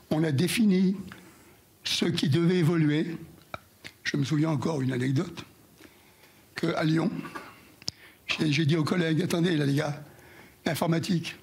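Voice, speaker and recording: A hoarse older man giving a speech to an audience, halting and repeating words as he recalls past events. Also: a little high, darkish tone. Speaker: former union president giving an anniversary speech.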